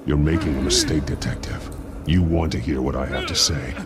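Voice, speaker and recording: An actor playing a grim, threatening masked vigilante in a video game, with terse, gravelly, growling delivery. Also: male voice, very deep voice, fairly quick, noisy background. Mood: grave, controlled. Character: grim menacing masked vigilante interrogating criminals. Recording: loud music under the voice.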